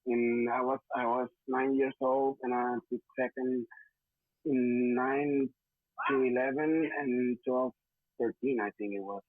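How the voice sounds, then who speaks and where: A young Puerto Rican man talks haltingly, searching for English words between fillers. His voice comes through thin and phone-like, with a dry, close sound and no room around it. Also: medium pitch, fairly even intonation, dry recording. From Puerto Rico. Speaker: interview guest, wrestler. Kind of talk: casual interview answers.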